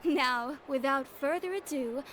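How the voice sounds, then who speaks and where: A woman's voice: high voice, a little slow, fairly bright tone, slight background noise. A woman playing a polished, upbeat shop announcer presenting a product in a video game. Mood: upbeat, formal. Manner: polished, promotional.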